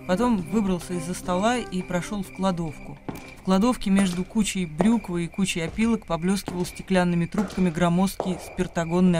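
A man narrating literary prose as the storyteller of a radio play. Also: high-pitched.

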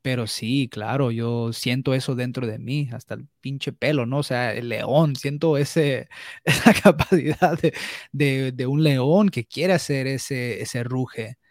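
A man's voice: medium pitch, unhurried, dry recording, studio quality. A young man, talking candidly on a podcast, hesitant, with restarts and slips into English words. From United States. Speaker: podcast co-host. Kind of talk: casual podcast conversation.

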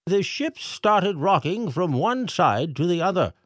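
Brief: man playing a flustered storybook mayor, pitched well up, urgent and loud, lively swings. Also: measured pace, no room sound, clean studio sound, heavily acted. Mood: alarmed. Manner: urgent, raised reading voice. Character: flustered male town mayor reading a letter.

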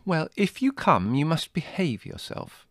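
A man's voice: fairly high pitch, big pitch swings, moderate pace, no echo, clean studio sound, pitched above the performer's own voice. A man voicing a haughty young woman who speaks in an airy, arch, easily offended way.

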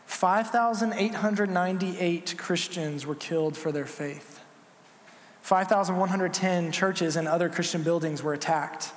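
A man's voice: high voice, a little slow. A man preaching a sermon as pastor, earnest and conversational with some fillers. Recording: echoey hall with long reverb.

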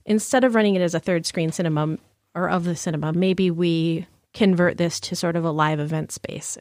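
A woman's voice: on the low side, a little mellow, no room sound, clean studio sound. A woman from Michigan, a guest in a casual interview, talking in a chatty way with lots of "like" and frequent laughs. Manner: chatty, laughs often, many "like" fillers.

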